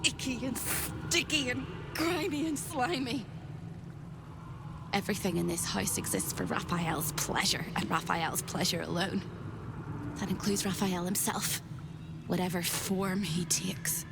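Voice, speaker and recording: A woman's voice: medium pitch, measured pace, creaky, over music. A woman voicing an eccentric, bitter captive in a video game, with a dramatic, sing-song manner. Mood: bitter disgust. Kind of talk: video game character acting.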